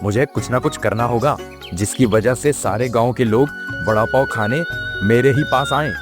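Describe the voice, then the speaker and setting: A man's voice: medium pitch, moderate pace, close to an everyday voice. Guy doing a scheming jealous village vendor for a cartoon, villain type, music behind him. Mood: bitter, scheming. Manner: villainous, muttering his plots to himself. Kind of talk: Hindi moral-story cartoon dubbing.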